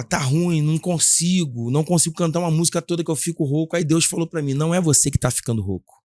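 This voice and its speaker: A man with a Brazilian Portuguese accent, a gospel singer and worship leader telling his story in a casual podcast interview, with a husky timbre and earnest, halting delivery full of restarts. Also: a little high, darkish tone, dry recording, studio quality. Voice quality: husky, gravelly singing timbre.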